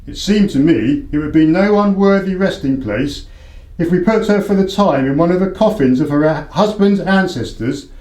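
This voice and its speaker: A male actor as a proud aristocrat cornered by debt, in a radio play. His voice sits fairly high, with formal, imperious and defensive delivery, and the room echoes clearly around him. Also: lively intonation, moderate pace, a little mellow, natural voice. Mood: solemn, defensive. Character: proud, desperate English baronet, indebted horse owner.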